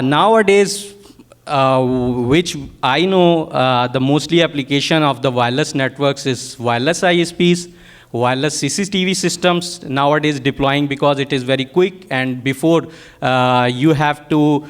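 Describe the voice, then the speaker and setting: Halting Pakistani man giving a technical presentation. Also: medium pitch, clean tone. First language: Urdu. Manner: halting, hesitant, searching for words in non-native English. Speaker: technical product manager presenting a workshop.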